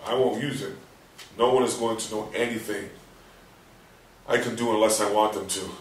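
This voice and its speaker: A man's voice: lowish voice, steady tone, moderate pace, close to an everyday voice. An actor in a staged play reading playing a guilt-ridden, bitter husband who hides that he can talk, recorded in a roomy space. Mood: bitter, stubborn. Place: roomy indoor space, far from mic. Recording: roomy, distant mic with faint noise.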